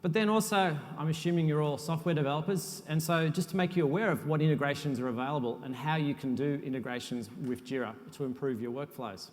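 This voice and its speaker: Male conference presenter, slightly high voice, easygoing and self-deprecating, recorded in a reverberant hall. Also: quick pace, few pauses. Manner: relaxed, self-deprecating presenter with frequent uhs. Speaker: conference speaker, software architect. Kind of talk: technical conference talk.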